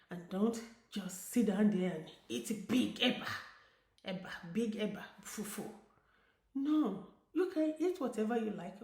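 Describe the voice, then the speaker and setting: A woman's voice: medium pitch, dark tone. A middle-aged woman with a Nigerian accent, a diabetes educator talking to her viewers in an earnest, encouraging way and repeating key words for emphasis. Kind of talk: casual vlog talk to viewers. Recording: roomy echo.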